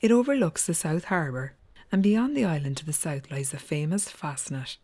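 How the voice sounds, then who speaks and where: A woman's voice: sits low, lively intonation, normal speed, mellow. A woman, narrating a travel documentary as its presenter.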